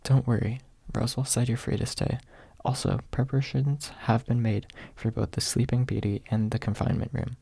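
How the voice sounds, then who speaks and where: A haughty young maid, read by a man in a quiet storybook style. The delivery is dry and dismissive, with a husky, creaky voice. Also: medium pitch, fairly even intonation, moderate pace, few pauses, no echo. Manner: dry, dismissive, refers to self in third person. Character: haughty, blunt young pink-haired maid, a woman. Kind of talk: ASMR audiobook character reading.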